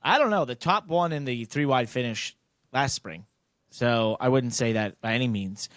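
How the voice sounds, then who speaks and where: A man's voice: medium pitch, moderate pace, fairly bright tone, dry recording. An American man hosting a casual sports podcast, talking bluntly and emphatically in long, rambling turns. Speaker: podcast host, racing driver.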